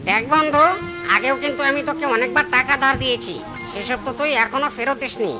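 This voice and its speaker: A woman voicing an excitable, easily fed-up male friend in a comic cartoon. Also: sits high, quick pace, music in the background, natural voice.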